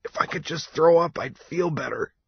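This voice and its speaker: A man voicing a wretched, panicky young male traveler in armor for an audiobook, with a shrill, strained, whining delivery. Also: big pitch swings, a little slow, breathy edge, dry recording, lo-fi sound, full character voice. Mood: wretched, queasy. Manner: whining, agitated complaining.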